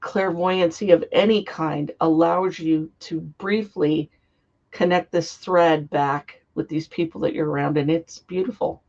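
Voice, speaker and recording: Woman guest, slightly hoarse from a cold, earnest and heartfelt delivery; gravelly voice, muffled lo-fi recording. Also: low voice, steady tone, unhurried, a little mellow. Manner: earnest, heartfelt, flowing explanations. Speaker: guest psychic. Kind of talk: interview conversation. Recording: dull lo-fi sound.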